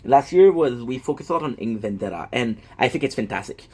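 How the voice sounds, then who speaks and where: A man's voice: mid-range voice, animated, a little fast, darkish tone, breathy edge. A man in his thirties, a gaming content creator, talking in a rambling, self-deprecating monologue full of fillers and restarts. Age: 30s.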